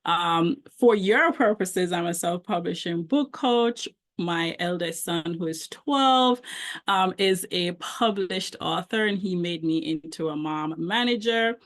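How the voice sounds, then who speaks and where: A woman's voice: on the low side, slow pace. A middle-aged woman with a Jamaican accent, a book coach giving a conversational presentation with frequent ums. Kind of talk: online presentation.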